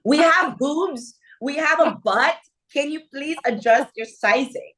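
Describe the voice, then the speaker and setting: A woman's voice: high voice, unhurried, a bit bright. A woman, a fashion designer from the Philippines, talking casually in an interview. She tells her stories vividly, acts out quoted dialogue and often checks in with "okay?" and "right?".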